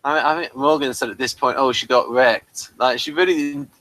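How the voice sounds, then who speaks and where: A man hosting a casual review chat, speaking haltingly and often repeating his phrases. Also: medium pitch, steady tone, a bit bright. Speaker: host of review discussion.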